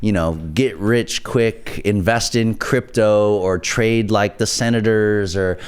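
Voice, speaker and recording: A man's voice: lowish voice, steady tone, slow pace, smooth. A man hosting a casual interview, talking his way around to questions and often checking in for agreement. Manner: roundabout, checks in with "right?". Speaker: interview host.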